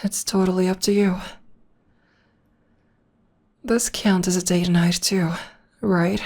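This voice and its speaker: A young woman voicing a tender, protective witch girlfriend in an ASMR roleplay, speaking softly and often whispering close to the microphone. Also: lowish voice, level tone, slow pace. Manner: soft and soothing, often whispering. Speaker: voice actor.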